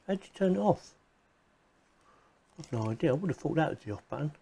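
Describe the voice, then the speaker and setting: A man with a soft, mellow voice talks through a gadget in an informal hands-on style. He sounds like he is thinking aloud, chatting casually to the viewer as he works things out. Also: a little high, lively intonation, brisk, vocal fry, slightly rough. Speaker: video presenter reviewing gadgets. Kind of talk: casual hands-on unboxing commentary.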